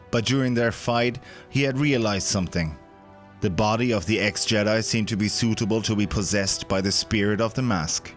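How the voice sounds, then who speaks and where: Male narrator, fairly low register, dramatic scripted storytelling for audio drama, faint music bed. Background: background music.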